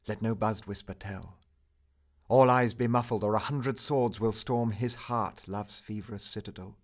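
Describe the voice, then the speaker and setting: A man narrating a poem in a theatrical, measured manner, swelling for emphasis and hushing at line endings. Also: fairly low pitch, creaky. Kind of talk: dramatic narrative poetry recital.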